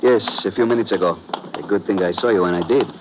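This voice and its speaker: An actor playing a formal, wary foreign espionage contact with stiff, measured phrasing in a vintage radio drama. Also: male voice, low voice, close to an everyday voice. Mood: brisk, alert. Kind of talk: 1950s radio drama acting. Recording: noisy old radio show recording.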